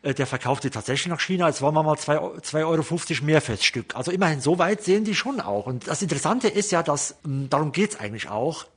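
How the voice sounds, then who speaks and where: A man's voice: on the high side, animated, quick pace, few pauses, breathy edge. A man, a novelist, talking reflectively about how he wrote his book in a casual panel discussion. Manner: reflective, explains his writing process.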